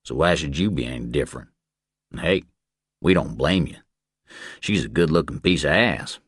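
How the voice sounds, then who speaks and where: A man voicing a cold, boastful Texas ranch hand in an audiobook, speaking with slow folksy menace. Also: very deep voice, lively intonation, breathy edge, no room sound, studio quality, heavily acted. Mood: menacing boast.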